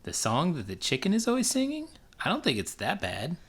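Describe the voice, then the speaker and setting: A man reading a children's book aloud in an expressive way, with big swings in volume. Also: fairly high pitch, fairly quick, heavy background noise. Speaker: children's book reader and teacher. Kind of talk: animated storybook read-aloud.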